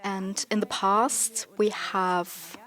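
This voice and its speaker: Woman, panel speaker, unhurried pace, pauses and fillers, medium pitch. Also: flat delivery, fairly bright tone. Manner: deliberate, pausing with fillers. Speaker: digital rights advocate on a panel. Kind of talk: conference panel talk.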